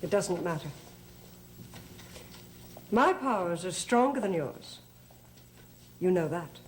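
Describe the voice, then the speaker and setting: An actress playing a haughty, cold evil spirit posing as a wife in a gothic soap opera, speaking dramatically. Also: female voice, fairly low pitch, lively intonation, slow, slight background noise. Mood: cold, defiant.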